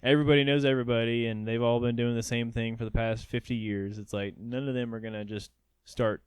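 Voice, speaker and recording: Rambling man, smooth voice. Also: mid-range voice, little pitch movement, brisk, no room sound. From North Georgia. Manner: rambling, many restarts and fillers. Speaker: podcast co-host. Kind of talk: casual chat.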